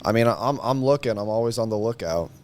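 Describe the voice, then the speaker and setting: A man's voice: lowish voice, expressive, fast talker, a little mellow. A young man in his late teens chatting casually as a guest, modest and understated. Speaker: guest, high school senior. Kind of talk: casual chat.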